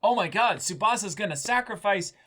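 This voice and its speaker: A man's voice: sits high, expressive, a little fast, a bit bright. A man co-hosting a reaction show, chatting casually and expressively about what he watched. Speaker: co-host of an anime reaction show.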